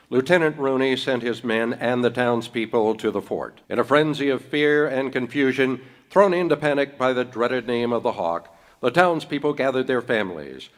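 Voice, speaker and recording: A man narrating in scripted 1930s radio style, with formal, dramatic storytelling delivery. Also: medium pitch.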